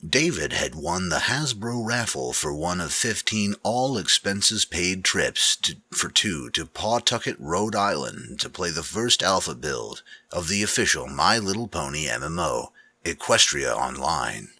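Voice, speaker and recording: A man's voice: low voice, lively intonation, a little slow, bright, forceful tone, husky, dry recording. A man giving a dramatic, scripted narration of a story. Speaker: narrator of fan fiction readings.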